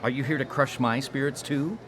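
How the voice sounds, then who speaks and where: A man's voice: medium pitch, fairly even intonation, a little fast, crowd noise, close to an everyday voice. A man playing an awkward, stammering, defensive blind-dater in a radio drama. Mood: sullen.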